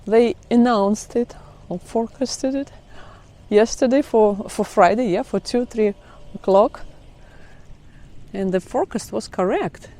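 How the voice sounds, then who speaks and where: A woman's voice: fairly high pitch, a little slow, warm tone. Chatty woman with a Russian accent. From Kazakhstan. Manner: chatty, laughs often, non-native phrasing. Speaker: vlogger. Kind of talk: casual vlog chat. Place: outdoors by trees in melting ice.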